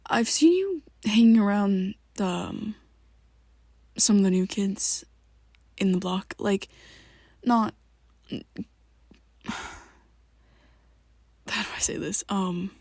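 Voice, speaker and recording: A woman in an ASMR roleplay, talking to the listener as a worried best friend, halting and rambling, often sinking into a soft whisper close to the mic. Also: medium pitch, no room sound. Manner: hesitant and rambling, halting restarts.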